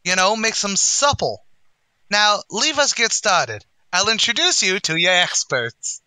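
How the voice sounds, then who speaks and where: A man plays a bluffing, boastful tavern manager in old-time radio comedy style. His voice is high and very sharp, swooping through folksy, mangled-grammar patter. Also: big pitch swings, measured pace, no room sound, exaggerated voice, poor audio. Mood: scheming. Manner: folksy, ungrammatical, bluffing wise-guy delivery. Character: blustering, boastful, uneducated tavern manager uncle.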